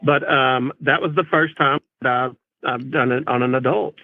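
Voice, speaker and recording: A man's voice: mid-range voice, steady tone, normal speed, dry recording, phone-quality sound. A polite, plain-spoken man, a police officer, recounting events conversationally as an interview guest.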